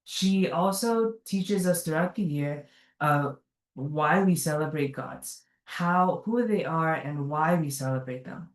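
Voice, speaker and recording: A woman's voice: low voice, takes it slowly. Earnest woman reading a speech, echoey. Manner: earnest, careful delivery with small self-corrections. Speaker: speaker at a community gathering. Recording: echoey room, mic at a distance.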